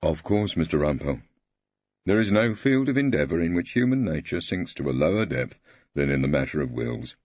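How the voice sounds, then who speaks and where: A man playing a lugubrious, formal older solicitor who speaks in a measured, eloquent way in an audiobook story. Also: rumbling low, big pitch swings, darkish tone, dry recording, lo-fi sound, heavily acted. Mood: grave, eloquent.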